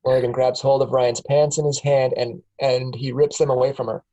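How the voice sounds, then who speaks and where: A woman reads a screenplay's stage directions aloud at a casual table read. Her tone is mellow and dark, and she keeps breaking into laughs as she reads. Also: extremely low pitch, flat delivery, moderate pace, poor audio. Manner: laughs often while reading. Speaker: narrator reading stage directions.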